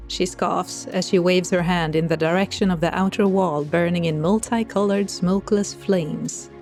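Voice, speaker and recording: A woman with a fairly low voice narrates a scripted audio drama. Her storytelling is wry, and music plays softly behind her. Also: steady tone, moderate pace.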